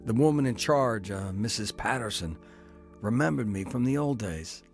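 A man's voice: fairly low pitch, lively intonation, normal speed, faint music behind. An actor playing a down-on-his-luck man who narrates his own story in a radio drama. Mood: reflective.

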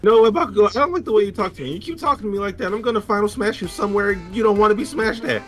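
A man's voice: sits high, racing, a bit bright, soft background music. A man voicing a meek yet cheeky teenage anime hero in a joke fan dub, exaggerated, apologetic and teasing. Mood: cheeky, defiant. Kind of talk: improvised joke fan dub while gaming.

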